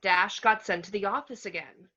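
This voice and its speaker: A woman's voice: medium pitch, normal speed, bright, forceful tone, lo-fi sound, a little hiss or hum, lightly acted. A woman playing a patient but firm, exasperated superhero mother in a script table read. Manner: admonishing, exasperated.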